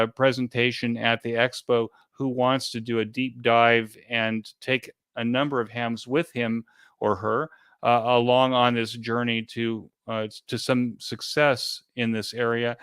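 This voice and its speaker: A man speaking as an interview guest in a conversational way, explaining things hesitantly with frequent pauses and repeated words. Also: mid-range voice, fairly bright tone, smooth, dry recording. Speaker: interview guest, event organizer.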